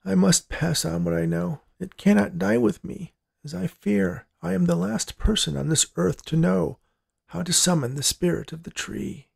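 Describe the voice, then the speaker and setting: Hoarse, frail old grandfather, as a male narrator plays him. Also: sits high, steady tone, normal speed, pitched above the performer's own voice, exaggerated voice. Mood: grave, fearful. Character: frail, dying old grandfather, urgent and grave. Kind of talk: storybook character voice in narration.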